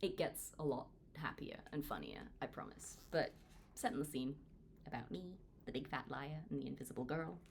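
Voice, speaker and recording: Lively low-voiced woman author. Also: moderate pace, gravelly, a little hiss or hum. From Hong Kong. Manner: earnest, lively reading with wide pitch swings. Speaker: author reading her own book. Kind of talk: audiobook read-along narration.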